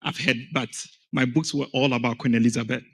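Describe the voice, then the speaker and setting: A man's voice: medium pitch, fairly even intonation, brisk, vocal fry, a bit bright. A man from Ghana speaking as a panel guest, telling his story in an earnest, emphatic way. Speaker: panel guest, founder of an education organization. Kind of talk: panel conversation.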